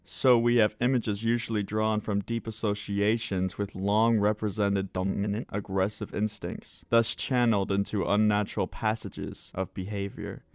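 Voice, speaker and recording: A man's voice: on the low side, little pitch movement, normal speed, hardly any pauses, no room sound, studio quality, close to an everyday voice. A man playing a pompous, wordy, muddled psychiatrist in a radio drama. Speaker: amateur radio drama actor.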